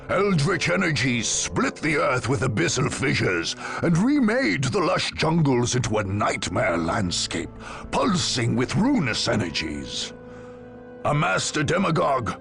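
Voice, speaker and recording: A grave, portentous storyteller recounts a dark war saga for a video game. His mid-pitched voice is creaky and lively in its rise and fall, set over music. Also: heavily acted. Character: grave, ominous male narrator of a war chronicle. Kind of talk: dramatic scripted narration for a video game.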